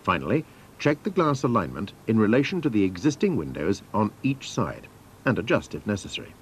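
A man's voice: on the low side, big pitch swings, brisk, a little hiss or hum. A man narrating scripted instructions for a training film in a formal, methodical manner.

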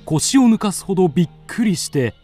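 A man's voice: sits high, big pitch swings, fairly quick, warm tone, faint music behind. A man narrating a scripted ghost story in a polite storytelling manner.

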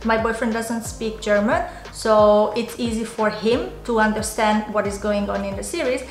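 A woman's voice: mid-range voice, steady tone, moderate pace. Young Hungarian-accented woman, roomy sound. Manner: earnest, explaining step by step, slight non-native phrasing. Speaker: YouTuber. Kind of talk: casual to-camera tips vlog. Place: small home office indoors. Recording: roomy home office, faint music.

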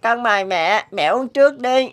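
A woman voicing a kind, polite, sick little boy in a cartoon, gentle in manner. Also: a little high, expressive, normal speed, natural voice. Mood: kind, caring. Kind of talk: cartoon dub acting. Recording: loud music behind the voice.

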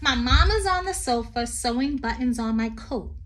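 A middle-aged woman reading a poem aloud to young children like a teacher, expressive and sing-song, with chatty asides. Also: a little high, normal speed. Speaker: teacher reading to her class. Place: small room indoors. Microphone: phone at arm's length.

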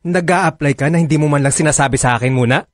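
A man's voice: fairly high pitch, fast, no room sound, studio quality, lightly acted. A man playing a possessive, reluctant lover in a radio drama, hesitant and sulky. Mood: resentful.

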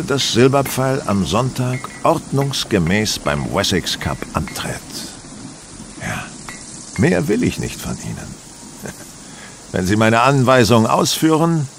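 Confident detective, precise, condescending, lively swooping intonation, audio drama, clear outdoor sounds behind. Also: male voice, fairly low pitch, background noise. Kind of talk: German audio drama acting.

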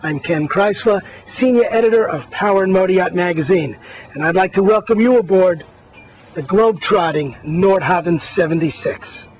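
A man's voice: high voice, normal speed, low-quality recording. A man, a magazine editor, walking viewers through a boat and pointing out its features one by one in an upbeat tour narration.

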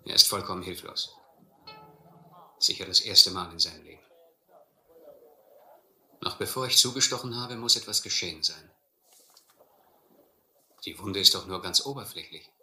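Ernster Seefahrer mit schneidend heller Klangfarbe. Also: male voice, sits low, moderate pace, pauses often, bright, forceful tone. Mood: urgent, concerned. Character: earnest, educated castaway man narrating his sea ordeal. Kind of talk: German radio play acting and narration. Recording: roomy, faintly noisy old radio play.

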